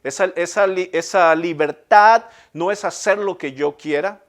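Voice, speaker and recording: A man's voice: high-pitched, measured pace. A middle-aged man from Ecuador with a Guayaquil Spanish accent, preaching a sermon as pastor with emphatic repetition and rhetorical questions.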